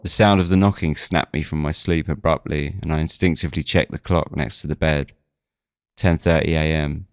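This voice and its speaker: A man reading scripted first-person audio drama narration in a measured storytelling manner. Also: rumbling low, fairly even intonation, no echo. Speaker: narrator and lead of a fiction podcast.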